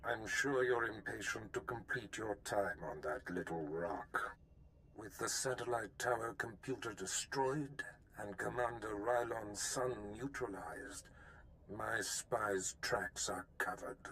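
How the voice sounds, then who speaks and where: Low, imperious Sith lord. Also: male voice, bright, forceful tone, slight background noise, heavily acted, low-quality recording. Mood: cold menace. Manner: measured, menacing, condescending. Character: imperious male Sith lord, cold, scheming master. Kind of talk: video game voice acting.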